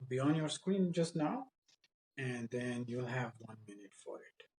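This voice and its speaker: A man's voice: mid-range voice, expressive, a little slow, a little mellow. A man working as an English teacher, bluntly instructing and asking practice exam questions. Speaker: English speaking-test teacher. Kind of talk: mock speaking exam, questioning and coaching.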